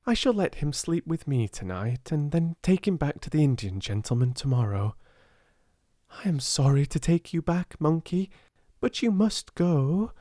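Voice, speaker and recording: Man playing kind young British girl, storybook, soft and affectionate, slow, raised lilting pitch. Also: sing-song, dry recording, clean studio sound. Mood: gently regretful. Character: kind, imaginative young girl, tender with animals. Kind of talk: storybook character voice.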